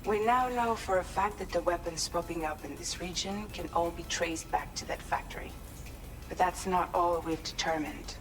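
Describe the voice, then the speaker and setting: Low-voiced businesslike acted woman analyst. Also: moderate pace, faint music behind, close to an everyday voice, poor audio. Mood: composed, businesslike. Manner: businesslike, precise. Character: composed female mission analyst giving a briefing. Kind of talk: video game cutscene briefing.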